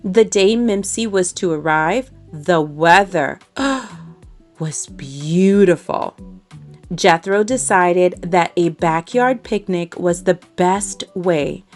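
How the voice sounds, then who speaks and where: Woman storyteller for young kids: expressive, lively pitch, unhurried, faint music underneath. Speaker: storybook reader. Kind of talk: scripted read-aloud of a children's story.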